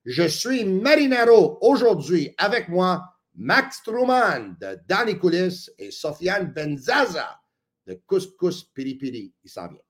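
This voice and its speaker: A man with a Quebec French accent hosting a sports podcast, lively and joking, with quick asides. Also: high-pitched, dry recording. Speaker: podcast host. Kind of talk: casual sports talk.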